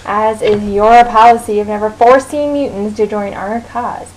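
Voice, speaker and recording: A woman's voice: mid-range voice, steady tone, normal speed, lightly acted. A woman voicing a poised, scolding telepath mentor from a comic, formal and condescending, on a noisy amateur recording. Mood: composed.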